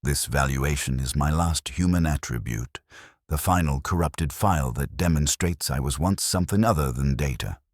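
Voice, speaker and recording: A man narrating a scripted first-person sci-fi horror story in a solemn, measured way, in short deliberate phrases. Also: rumbling low, no echo, studio quality.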